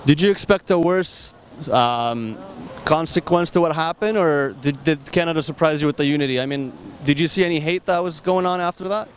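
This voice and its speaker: A man's voice: fairly high pitch, fairly quick, a little hiss or hum. Friendly man interviewing on a street. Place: city street outdoors.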